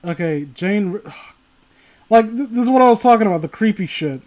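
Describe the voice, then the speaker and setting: High-voiced man rambling on a stream. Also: lively intonation, moderate pace, a little mellow. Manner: sweary, informal, rambling, often breaking off mid-sentence. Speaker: streamer reading stories aloud to viewers. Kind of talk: casual livestream reading with mocking commentary.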